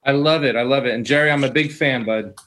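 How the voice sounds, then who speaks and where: A man's voice: mid-range voice, fast. A man who is a musical guest chatting casually and telling stories at a live show. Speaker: musical guest, singer and radio host. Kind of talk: casual storytelling chat. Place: live show at a coffee parlor.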